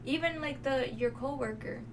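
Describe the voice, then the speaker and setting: A woman's voice: high voice, normal speed. A woman from New York City chatting casually and informally as a podcast co-host. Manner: informal, colloquial. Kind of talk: casual conversational podcast chat. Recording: distant mic, faint background noise.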